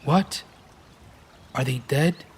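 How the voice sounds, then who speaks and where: A man's voice: on the high side, drawn-out words, husky, full character voice. A man playing a naive, easily startled gardener's helper in a Shakespearean radio play. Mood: surprised, questioning.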